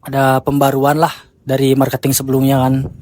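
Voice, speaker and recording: A man's voice: medium pitch, completely flat delivery, moderate pace, darkish tone. A man of twenty, a podcast guest, talking casually and groping for his phrasing. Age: 20s. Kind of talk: casual chat.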